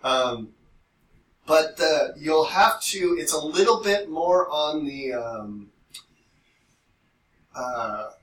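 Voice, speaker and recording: A man co-hosting a podcast in casual, rambling chat, telling things in an animated way and swearing freely. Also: a little high, extremely slow, long pauses. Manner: animated storytelling, frequent swearing. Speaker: podcast co-host. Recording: roomy echo, distant mic, faint noise.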